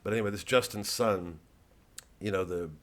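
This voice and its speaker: A man's voice: fairly low pitch, moderate pace, fairly bright tone. A man giving a rambling, improvised satirical monologue, sardonic and profane, often digressing as he goes. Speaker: satirical comedian.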